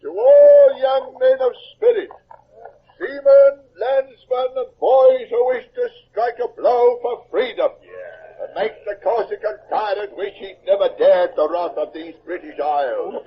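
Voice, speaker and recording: A man's voice: very high-pitched, full character voice. A man acting a hearty British naval lieutenant in a 1950s radio drama, declaiming emphatically like a crier. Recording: dull, hissy old radio recording.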